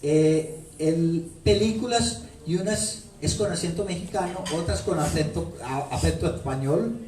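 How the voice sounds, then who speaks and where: A man's voice: on the high side, unhurried, a little mellow. A man with a Colombian paisa accent, an author speaking playfully to an audience at a book launch, repeating phrases for effect as he tells stories. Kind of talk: animated talk to an audience. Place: bookstore event room. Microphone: handheld microphone. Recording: echoey room from far off.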